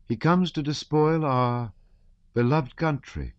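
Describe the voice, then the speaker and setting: A man voicing an earnest young woman who reads aloud carefully in a high-pitched voice. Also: slow, clear, resonant tone, no room sound, muffled recording. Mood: solemn reading.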